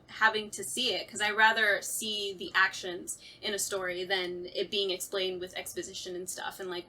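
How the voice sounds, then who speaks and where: A woman in a casual chat as a writer and actor, talking in an animated, conversational way and often quoting her own thoughts. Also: medium pitch. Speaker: writer and voice actor on a radio play talkback.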